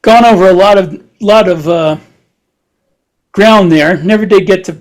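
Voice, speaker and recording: A man's voice: sits high, a little slow. A man co-hosting a podcast in a casual, chatty style. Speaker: podcast co-host.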